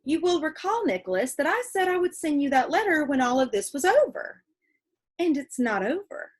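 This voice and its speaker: A woman's voice: high voice, sing-song, voice pushed up. Woman voicing a proper, lilting older schoolteacher. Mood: puzzled, firm. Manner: deliberate, formal, emphatic. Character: older female schoolteacher, proper, commanding yet kindly.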